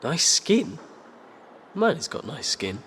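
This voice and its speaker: Animated, blunt teenage boy wizard. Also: a little high, slow, in a large hall, a little hiss or hum, heavily acted. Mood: gossipy. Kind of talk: scripted cinematic game acting.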